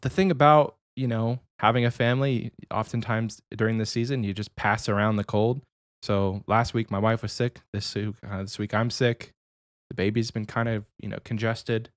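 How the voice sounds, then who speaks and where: Podcast co-host with a cold, slightly nasal man, low-pitched, rambling easy chat, dry close sound. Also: fairly even intonation, normal speed, no room sound. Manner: rambling, easygoing, many restarts.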